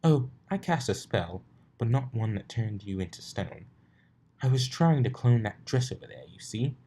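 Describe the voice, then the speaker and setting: A man's voice: lowish voice, animated, moderate pace, mellow, slightly rough. A man voicing a prim, dramatic female unicorn in a cartoon story, prissy and theatrical in manner. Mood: mock grave.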